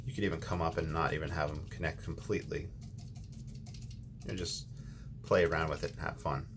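Low-voiced man teaching watercolor. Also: fairly quick, background noise. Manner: relaxed, thinking aloud with ums and restarts. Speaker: watercolor tutorial host. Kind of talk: casual painting instruction.

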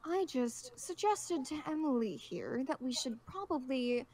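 An argumentative female survivor, acted by a woman in a Minecraft roleplay. She reasons firmly in a somewhat high voice. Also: expressive, unhurried, a little mellow, exaggerated voice. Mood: arguing. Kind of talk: amateur Minecraft roleplay voice acting.

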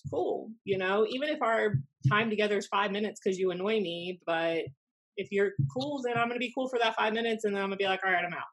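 An American woman in her thirties telling anecdotes casually, in a blunt, animated, sweary way, as a podcast host. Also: medium pitch, fast talker, poor audio. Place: small room at home, indoors. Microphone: desk podcast microphone on video call.

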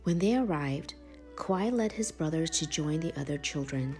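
A woman reading a children's picture book aloud in a measured, animated storytelling manner. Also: low-pitched. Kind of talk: expressive storybook read-aloud. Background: background music.

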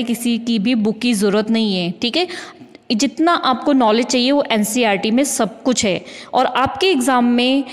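A woman with an Indian accent teaching a school science lesson, explaining step by step with repeated points, rhetorical questions and frequent check-in tags. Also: fairly high pitch. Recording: echoing, reverberant room sound.

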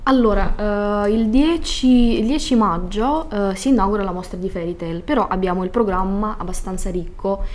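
Woman in her 30s, medium pitch, chatting in a studio, bit hesitant finding words. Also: moderate pace, few pauses, darkish tone, slight background noise. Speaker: guest event organiser. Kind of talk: casual studio interview. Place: small glass-walled broadcast studio. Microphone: studio desk microphone.

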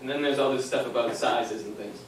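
A man's voice: mid-range voice, steady tone, a little fast, over a noisy crowd, close to an everyday voice. A young man acting an affectionate, self-conscious grandson in a theatrical memory play, telling family stories to an audience. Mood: modest, earnest. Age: young adult. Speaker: stage actor. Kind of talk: theatrical narration and dialogue before an audience. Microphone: far camera microphone. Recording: echoey theater, distant mic, faint noise.

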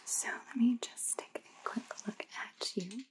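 A woman's voice: on the high side, unhurried, natural voice, low-quality recording. A young woman playing an aloof, trend-obsessed vampire housemate in an ASMR roleplay, drawling casually and often whispering. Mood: bossy, casual. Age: young adult. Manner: casual valley-girl drawl full of "like".

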